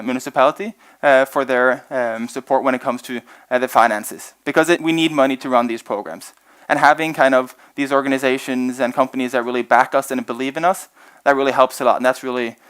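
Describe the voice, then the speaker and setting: A man's voice: mid-range voice, fairly bright tone, clear, resonant tone. A young man presenting his organization on stage to an audience, earnest and full of "uh" fillers. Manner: fast, earnest, many "uh" fillers. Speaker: organization representative presenting at event.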